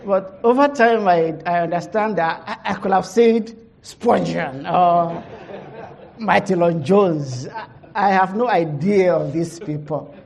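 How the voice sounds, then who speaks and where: A middle-aged woman pastor with a heavy Nigerian accent gives a conference testimony, halting, with many fillers, laughing at herself. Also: fairly low pitch, vocal fry, darkish tone.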